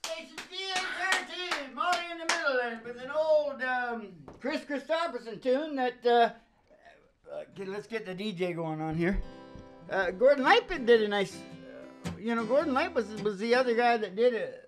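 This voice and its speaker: A woman's voice: fairly high pitch, expressive, normal speed. A woman hosting a casual radio show, rambling in a folksy, salty, blunt way. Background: acoustic guitar.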